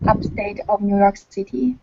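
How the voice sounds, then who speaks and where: A woman from China, a PhD student, talks in a casual online meeting. She is earnest and halting, often pausing on fillers. The call audio sounds muffled. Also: medium pitch, fairly even intonation, dark tone, husky.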